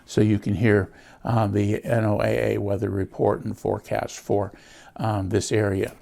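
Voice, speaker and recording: An American man giving a casual product walkthrough, explaining things in an unhurried, plain-spoken way. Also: fairly low pitch, level tone, gravelly, warm tone, a little hiss or hum. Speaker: host of a gadget review channel.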